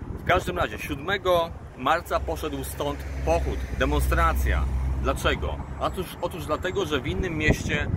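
Higher-voiced male guide over loud traffic. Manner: halting, explanatory, with pauses mid-phrase. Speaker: tour guide and travel vlogger. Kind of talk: informal history narration to camera. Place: outdoors by a road bridge. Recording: loud outdoor traffic noise. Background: passing cars and vehicles.

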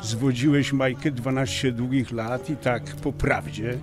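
An actor playing a frank, teasing friend with a colloquial, wry manner in an audio drama. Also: male voice, medium pitch, steady tone, a little slow, over music, lightly acted. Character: blunt, teasing male friend of the groom's circle.

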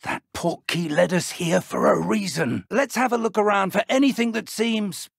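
An old British professor of wizardry for a video game. His voice is fairly high for a man and rasps with husky grain, recorded dry and close. He is an earnest, scholarly mentor who speaks in a thoughtful, formal way. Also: moderate pace, no echo, exaggerated voice. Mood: projected, instructive. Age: older. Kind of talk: video game character dialogue.

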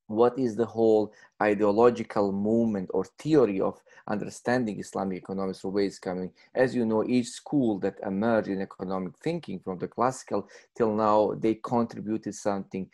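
A man's voice: on the low side, dark tone. A man in his thirties presenting in a webinar over a video call, earnest and searching for his words. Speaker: lecturer.

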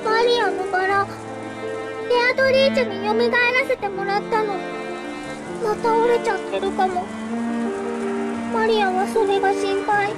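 A woman's voice: very high-pitched, steady tone, quick pace, long pauses, over music. A woman voicing an anxious, stubborn little girl in a visual novel, with a childish way of talking. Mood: anxious, insistent. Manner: childish, third-person self-reference. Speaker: voice actor. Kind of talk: Japanese visual novel acting.

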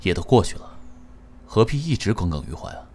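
An actor in a Chinese audio drama playing an indulgent, wistful company president, gentle, measured and understated. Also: male voice, lowish voice, very animated, husky. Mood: gently reasoning. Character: soft-spoken, indulgent, wistful male company president in love.

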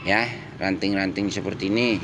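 A man's voice: sits low, fairly even intonation, normal speed, fairly bright tone, a little hiss or hum. A man with a slightly nasal voice from a cold, chatting as he shows and explains the stones he sells, filming himself with a phone outdoors. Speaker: seller of stones. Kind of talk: casual selling demonstration. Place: outdoor yard, tiled floor. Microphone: handheld phone camera.

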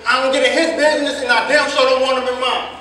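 A man's voice: very high voice, little pitch movement, fast, bright, forceful tone. A man playing a hot-headed, defensive young jitney driver in a stage play reading, curt and colloquial in his talk. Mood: angry, defensive. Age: young adult. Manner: curt, profane, street colloquial. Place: theater or hall staged reading, far mic. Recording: echoey hall, distant mic, noisy.

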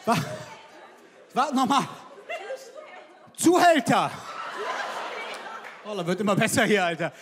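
A man's voice: high-pitched, very animated, slow, long pauses. A man, performing a brash, slangy stand-up set on stage into a handheld mic. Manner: brash, slangy, punchy delivery. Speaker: stand-up comedian. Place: large theater stage with live audience. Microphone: handheld microphone. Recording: live hall with crowd reactions.

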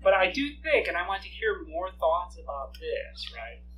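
A man's voice: high-pitched, normal speed. Chatty man at a lecture room front. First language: Korean. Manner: animated, thinking aloud with many fillers, gestures while talking. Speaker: speaker leading a campus discussion. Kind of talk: conversational talk answering audience. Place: lecture room with projection screen. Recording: slightly distant voice, faint hum.

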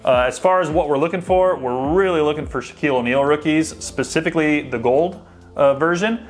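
A man's voice: on the high side, fairly quick, soft background music. A man hosting a sports card pack opening, chatting casually and thinking aloud as he sorts through the cards. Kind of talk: casual unboxing commentary.

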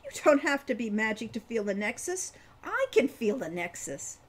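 A woman voicing an impulsive, excitable young girl who talks eagerly and pushes her point. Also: fairly high pitch, moderate pace, slightly rough. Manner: bubbly, eager, pushy.

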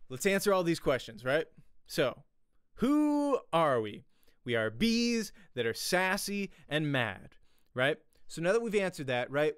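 A man, teaching an informal acting lesson in an animated, conversational way, often checking in with "right?". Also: on the high side, smooth, dry recording, studio quality. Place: small home room, indoors. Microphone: close desk microphone, headset on.

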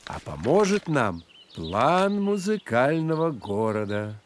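Slow-spoken, theatrical grasshopper maestro. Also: male voice, mid-range voice, big pitch swings, slight background noise. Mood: explanatory, grand. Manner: theatrical, measured, explanatory. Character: courtly grown-up male grasshopper maestro guiding children through music. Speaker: guide and teacher. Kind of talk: animated educational storytelling. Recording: music behind the voice.

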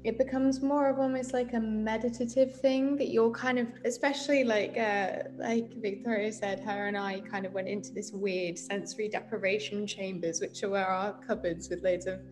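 A woman's voice: mid-range voice, fairly even intonation, moderate pace, few pauses, over music. A woman in her twenties chatting expressively and ramblingly in an interview over a video call. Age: 20s. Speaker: actor being interviewed. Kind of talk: casual interview chat. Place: indoor room, video call.

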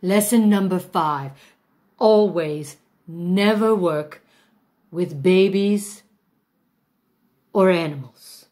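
A woman's voice: lowish voice, extremely slow, long pauses, warm tone. A woman playing a pompous, vain older actress who teaches a masterclass in a grand, drawling, self-important manner. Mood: grandiose. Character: pompous aging female stage diva, vain. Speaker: acting teacher in a mock masterclass. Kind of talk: comic character monologue.

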